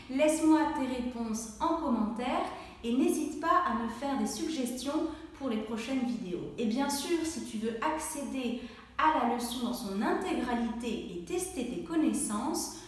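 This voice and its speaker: A woman teaching a language lesson, speaking slowly and clearly, acting out coughs and sneezes and encouraging the viewer directly. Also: fairly high pitch. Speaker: French teacher. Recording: very echoey room, voice from far off the mic.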